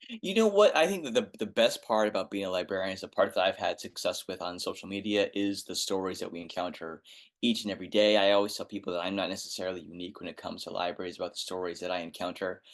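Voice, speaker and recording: A man talking as a guest on a podcast, enthusiastic and rambling, with many restarts and fillers in a quiet speaking voice. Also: deep voice, quick pace. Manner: says he has a quiet speaking voice. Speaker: guest librarian. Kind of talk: casual podcast interview.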